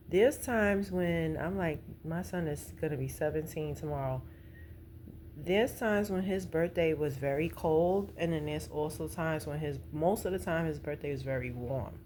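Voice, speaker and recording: A woman's voice: low voice, a little mellow. A middle-aged woman, chatting casually in an informal, meandering, conversational way as a vlogger. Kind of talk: casual rambling chat. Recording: noticeable background noise.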